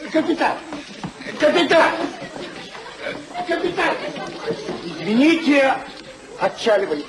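A man's voice: very high voice, heavily acted, rough recording. An older man acting a fussy, exasperated elderly English husband in a theatrical 1950s radio comedy, with indignant, emphatic delivery. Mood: outraged. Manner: indignant, emphatic, drawn-out exclamations. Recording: 1950s radio drama recording.

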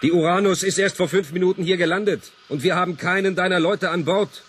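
Gruff, impatient spaceship captain. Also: male voice, high-pitched, steady tone, brisk. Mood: angry, incredulous. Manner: brusque, barking orders. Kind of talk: 1980s German science fiction radio play.

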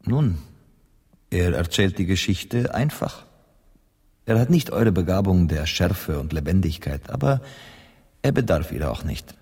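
An actor playing a measured, wry Spanish poet and interpreter in a radio drama, calm and deliberate. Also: male voice, low voice, husky, heavily acted. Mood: wry, gentle. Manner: deliberate, calm, gently ironic. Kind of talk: radio play acting.

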